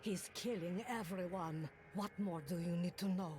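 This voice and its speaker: A woman's voice: fairly low pitch, moderate pace, lightly acted. An actress voicing a dry, blunt mystic woman who enchants items in a video game. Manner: dry, blunt, matter-of-fact. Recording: game audio with loud music. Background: game music.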